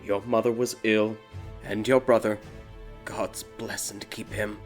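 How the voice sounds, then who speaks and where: A man voicing a stern, irritable king and grieving father with a rigid, domineering manner, in a dark fairy tale. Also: lowish voice, little pitch movement, unhurried, lightly acted. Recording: background music behind the voice.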